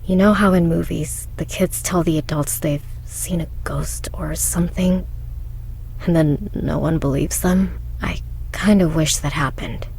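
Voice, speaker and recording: A woman's voice: low-pitched, fairly even intonation, unhurried, creaky, darkish tone, a little hiss or hum, low-quality recording. A woman voicing a sardonic, exasperated, skeptical girl in a visual novel game. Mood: uneasy. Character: skeptical, exasperated, sarcastic girl stuck at a convent.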